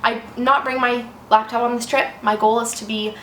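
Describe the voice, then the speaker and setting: A woman in her twenties with a slightly raspy voice from a cold, chatting casually and rambling a little. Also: fairly high pitch, level tone, moderate pace, pushed and bright. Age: 20s. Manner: chatty, rambling, self-deprecating. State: fighting off a cold. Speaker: vlogger. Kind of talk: casual chat. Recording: echoey room with faint noise.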